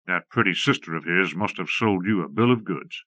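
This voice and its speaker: A man's voice: low-pitched, expressive, moderate pace, pushed and bright, no echo, lo-fi sound, exaggerated voice. A man voicing a cocky captured outlaw leader in a western audiobook, with a wry, folksy manner. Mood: sardonic. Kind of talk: western audiobook character acting.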